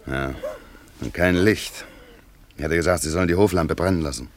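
A man acting a brusque village doctor in a German radio play, gruff, matter-of-fact and easily exasperated. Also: sits low, normal speed, noisy background. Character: brusque village doctor making farm calls.

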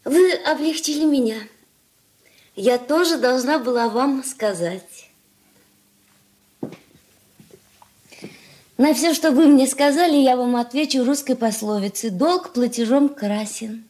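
An actress playing a cool, proud young fiancée in a radio drama, with a composed, curt and formal delivery. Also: female voice, high voice, unhurried, darkish tone. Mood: composed, pointed. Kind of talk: Soviet radio drama acting.

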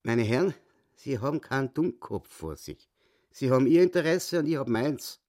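Actor as a cocky, animated little Viennese hustler. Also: male voice, mid-range voice, moderate pace, no echo, studio quality, natural voice. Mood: boastful. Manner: boastful, self-important, excitable. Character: cocky little hustler, boastful would-be world champion. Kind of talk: radio play acting.

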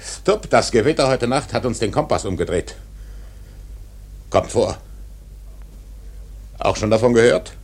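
An actor playing a proud, defiant old whaling captain in a radio drama, with a grandiose, commanding manner. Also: male voice, medium pitch, animated, a little slow, pauses often, husky. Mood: defiant, assured. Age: older. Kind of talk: literary radio drama acting.

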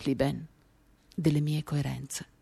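A woman performing an expressive, theatrical first-person literary reading for radio, moving between animated and hushed delivery. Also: sits low, slow pace, vocal fry, slightly rough. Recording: acoustic guitar accompaniment. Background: guitar music.

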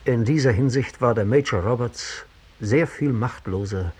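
Actor as a husky, introspective disgraced gentleman. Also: medium pitch, natural voice. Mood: resigned, earnest. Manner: literary, measured, introspective. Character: reflective, sensitive disgraced gentleman giving a confession. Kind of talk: 1960s German radio drama monologue.

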